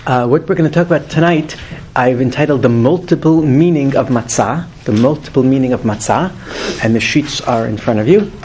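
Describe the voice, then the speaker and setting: A man's voice: medium pitch, a little fast, darkish tone, a little hiss or hum. A man in his fifties teaching a weekly Torah class to a group, earnest and emphatic, lifting his voice on key words. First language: not English.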